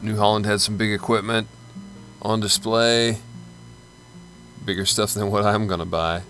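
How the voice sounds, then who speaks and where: Casual male vlogger, lowish voice, relaxed show-floor commentary, faint music bed. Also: unhurried. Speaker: channel host. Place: large indoor exhibition hall.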